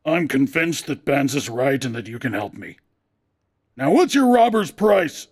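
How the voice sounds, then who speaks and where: A man's voice: sing-song, a little slow, husky, full character voice. A man voicing a sick, irritable man in constant pain who wails and groans. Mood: grudging. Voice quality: wailing, groaning, shrill. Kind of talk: audiobook character voice.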